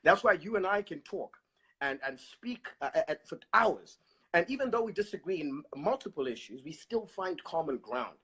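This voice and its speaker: A Zimbabwean man in casual political discussion, measured and thoughtful, pausing with fillers. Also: on the high side, big pitch swings, sharp tone, breathy edge, poor audio.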